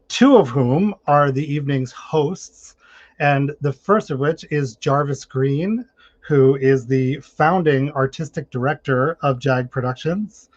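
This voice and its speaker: A man's voice: a little high, no room sound, highs cut off. A man speaking as moderator, introducing guests at an online event. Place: online video call.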